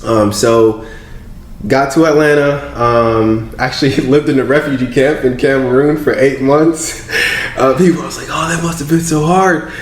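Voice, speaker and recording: A young man from Congo, a podcast guest, telling his story in a relaxed, easygoing way. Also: mid-range voice, moderate pace. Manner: relaxed, easygoing, laughs, fillers like "like". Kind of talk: casual interview storytelling. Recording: echoey room.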